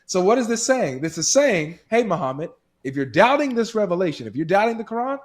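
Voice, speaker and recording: A man's voice: high-pitched, big pitch swings, fairly quick. A man appearing as a guest on a talk show, earnestly explaining an argument and acting out quoted exchanges. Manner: earnest, builds points with quoted dialogue.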